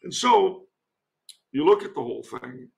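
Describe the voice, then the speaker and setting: A man from the United States giving conversational commentary as a podcast guest, in a wry, measured, deliberate way. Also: fairly high pitch, big pitch swings, creaky, a little mellow.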